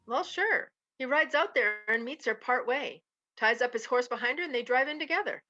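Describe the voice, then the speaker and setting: A woman's voice: fairly high pitch, expressive, normal speed, sharp tone. A woman playing a knowing, gossipy western saloon woman in a radio drama, casual and pointed. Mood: knowing, teasing. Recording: slightly dull recording, guitar music behind.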